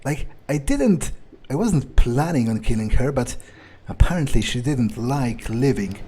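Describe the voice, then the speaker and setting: A man's voice: mid-range voice, lively intonation, a little slow, dark tone, a little hiss or hum, lightly acted. A man playing a cynical, callous young vampire in an in-character game playthrough, musing aloud in a hesitant, dismissive way. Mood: cold, callous. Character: callous, cynical young male vampire, foul-mouthed loner.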